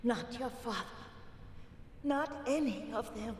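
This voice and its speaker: Forgiving frail mother, highish voice, pleading, long echo, video game drama. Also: slow pace. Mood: pleading, tender. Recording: long echoing reverb, faint rumble.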